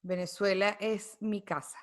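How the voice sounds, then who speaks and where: A woman's voice: mid-range voice, steady tone, a little slow. A woman guest on a podcast, speaking thoughtfully with many fillers and tag questions. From United States. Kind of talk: reflective conversational interview.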